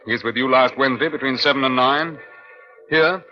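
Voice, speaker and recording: A man's voice: mid-range voice, over music, natural voice. A man playing a firm, probing British Scotland Yard detective in an old radio crime drama. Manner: measured, questioning. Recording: muffled old radio recording.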